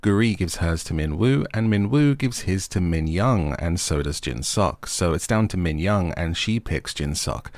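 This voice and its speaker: A man's voice: low voice, expressive, moderate pace, hardly any pauses, dry recording. A man hosting a scripted recap with playful, tongue-in-cheek asides. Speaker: host of a recap and review channel. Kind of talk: scripted recap narration with wry jokey asides.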